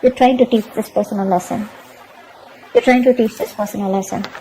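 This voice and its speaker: A woman's voice: mid-range voice, steady tone, a little fast, warm tone, slight background noise, rough recording. A woman giving a tarot reading to her viewers, emphatic and repetitive, often checking in with "okay?". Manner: emphatic, repeats herself, keeps asking "okay?". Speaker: tarot reader.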